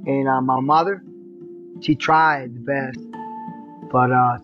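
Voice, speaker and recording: Soft-spoken man in his fifties from Cuba. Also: medium pitch, steady tone, takes it slowly, over music. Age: 50s. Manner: casual, soft-spoken, filler-laden. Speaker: interviewee. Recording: dull lo-fi interview tape.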